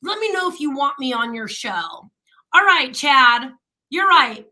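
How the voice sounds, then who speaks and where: A woman's voice: high-pitched, animated, measured pace, sharp tone. A woman radio host in casual chat with her co-host, chatty and laughing often.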